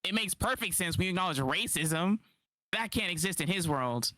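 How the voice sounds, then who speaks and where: Animated man streaming reaction commentary. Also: high voice, no echo, studio quality. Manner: animated, incredulous, rapid rebuttals.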